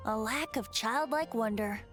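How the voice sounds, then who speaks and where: A woman's voice: fairly high pitch, measured pace, music in the background, lightly acted. A woman voicing a playful young male bard in a video game, with a teasing, lilting delivery.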